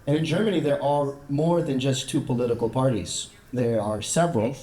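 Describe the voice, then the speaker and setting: A man's voice: medium pitch, warm tone. American man explaining in an echoey room. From United States. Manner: measured, explanatory, with some fillers. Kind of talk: public talk with discussion.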